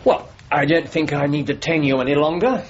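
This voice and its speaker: An actor playing a brilliant, sardonic gentleman detective in a radio drama, British, incisive and dryly mocking. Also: fairly high pitch, brisk, natural voice. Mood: dry, measured. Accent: British English. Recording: dull radio recording with faint noise.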